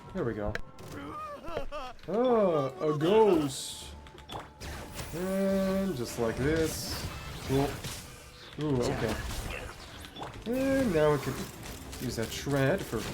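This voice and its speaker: A man giving casual solo commentary as a game streamer, musing aloud in short, halting remarks. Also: a little high, very animated, over music.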